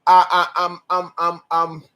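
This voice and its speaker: A man of forty co-hosting a candid chat with his wife, talking in animated, slangy, profane bursts that sometimes rise to shouts. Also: high voice, measured pace, highs cut off. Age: 40s. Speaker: podcast co-host.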